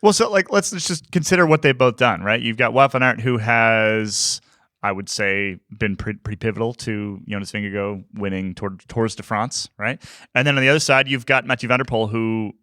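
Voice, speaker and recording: A man's voice: lowish voice, moderate pace, sharp tone, no echo, studio quality. A man hosting a casual podcast chat, putting questions to the panel and passing the turn around. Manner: moderating, poses questions and passes to others. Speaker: podcast host.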